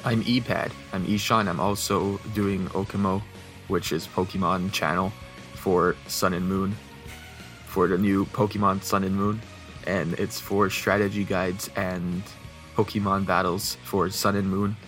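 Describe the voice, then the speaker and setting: A man's voice: low-pitched, flat delivery, a little slow, a bit bright, soft background music. A young man co-hosting a casual podcast, speaking matter-of-factly and often repeating his words as he starts. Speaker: podcast co-host.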